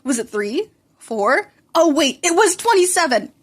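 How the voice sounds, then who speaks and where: A woman's voice: high-pitched, sing-song, a little slow, voice pushed up. A woman voicing a blunt, hot-tempered, sarcastic middle-school boy in a fan fiction audiobook, with a sneering manner. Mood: mocking.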